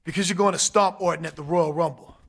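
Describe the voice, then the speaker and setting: A man playing a cocky, streetwise wrestler with a raspy voice in a scripted video game cutscene. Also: fairly high pitch, steady tone, fast talker, dry recording. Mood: determined. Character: cocky, streetwise wrestler ally, loyal and combative. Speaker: wrestler character.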